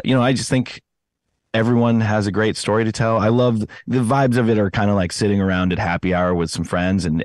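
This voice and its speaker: A man giving casual, conversational interview answers, often pausing and correcting himself as he finds his words. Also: deep voice, dry recording, studio quality. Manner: hesitant phrasing, self-correcting, chatty. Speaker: podcast host appearing as interview guest.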